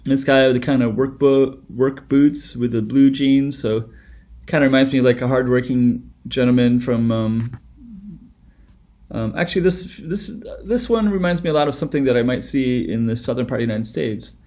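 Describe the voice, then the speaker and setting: A man's voice: medium pitch, moderate pace, a little mellow. A man in his thirties hosting a music reaction video, talking casually and rambling with many fillers and restarts, close to a webcam indoors.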